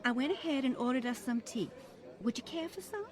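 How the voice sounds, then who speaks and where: A woman's voice: high-pitched, lively intonation, a little fast. An actress playing a gracious, faintly condescending lady with a sugary, polite manner, in a radio-style drama. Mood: gracious, awkward. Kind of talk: old-time radio style drama dialogue.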